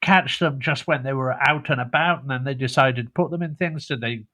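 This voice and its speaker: A man's voice: medium pitch, a little fast, bright, forceful tone. A British man hosting a podcast interview, asking long, rambling questions full of restarts. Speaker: podcast host. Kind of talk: conversational interview.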